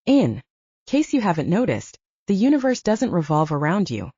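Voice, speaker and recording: A woman's voice: lowish voice, very animated, normal speed, mellow, slightly rough, exaggerated voice. A woman playing a guarded, stubborn, sarcastic heroine with a wry, firm manner in an audiobook. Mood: wry, weary. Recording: dull lo-fi, roomy sound.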